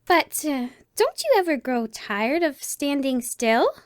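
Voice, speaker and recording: A woman's voice: sits high, sing-song, slow, no echo, studio quality, voice pushed up, heavily acted. A woman voicing a curious, restless little girl, in a storybook reading. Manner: bright, eager, restless.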